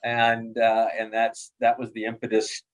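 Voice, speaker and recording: A man's voice: fairly low pitch, monotone, unhurried, low-quality recording. A middle-aged man, a doctor and author, talking and reading in an easy, plain way. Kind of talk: interview talk and storybook reading.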